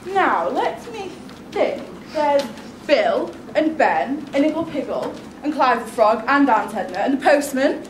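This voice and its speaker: A bossy pantomime royal played by a woman commands in a high, very lively voice. The theatre around the voice is echoey. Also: measured pace. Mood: pondering. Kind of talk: stage pantomime acting. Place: theatre stage, filmed from the audience. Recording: echoey theatre recording, faint noise.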